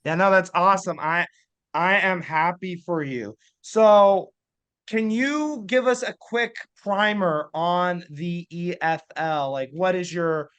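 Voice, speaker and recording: High, smooth-voiced male host. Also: slow, a bit bright. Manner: eager, loose run-on questions. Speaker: podcast host. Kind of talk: casual interview hosting.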